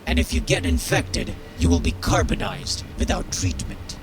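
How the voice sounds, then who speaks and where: A man's voice: normal speed, background noise, natural voice. An actor playing a stern, jaded military sergeant in a game, cold, contemptuous and authoritative as he drills a recruit. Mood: instructive, stern. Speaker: game character voice. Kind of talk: scripted game dialogue.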